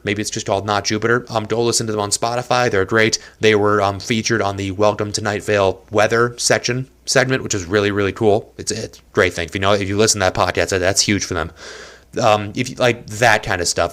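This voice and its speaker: A man's voice: lowish voice, fairly bright tone. A young man hosting a casual solo podcast from a small apartment, rambling and self-deprecating, with a nose stuffed up from allergies. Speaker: podcast host.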